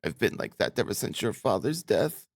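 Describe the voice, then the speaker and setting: Man putting on a doting, flustered old mother, pitched up. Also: very animated, normal speed, no room sound, clean studio sound. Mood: tearful joy. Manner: gushing, effusive, rambling. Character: doting, flustered elderly mother, tearful with joy. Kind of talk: audiobook character acting.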